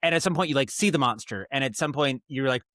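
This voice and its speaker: A man speaks as a guest in a casual interview, his voice at a medium pitch. He rambles thoughtfully, circling back to rephrase his ideas. The sound is dry and free of room echo. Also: lively intonation, fast talker, fairly bright tone. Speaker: podcast guest, writer and audio drama director.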